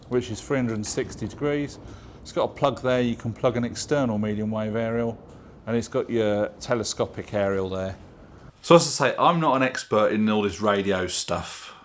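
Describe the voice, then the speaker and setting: A man's voice: medium pitch, moderate pace, slight background noise. A man presenting his own video in a chatty, informal, plain-spoken way, explaining things casually to camera. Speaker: video channel host.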